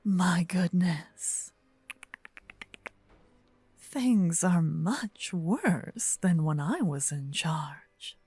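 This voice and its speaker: A woman playing a possessive demon queen spirit in a fantasy ASMR roleplay, breathy, smug, teasing and regal. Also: lowish voice, lively intonation, very slow, long pauses, darkish tone, faint music behind, full character voice. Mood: smug, amused.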